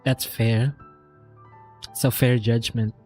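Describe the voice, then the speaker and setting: A man's voice: mid-range voice, level tone, slow pace, over music. A man with a Filipino accent giving casual, slangy live game commentary, switching between English and Filipino. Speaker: game streamer.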